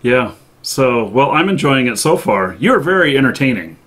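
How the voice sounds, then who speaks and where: A man hosting a casual live-stream interview, good-humored and halting as he puts questions to a guest. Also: mid-range voice, very animated, a bit bright.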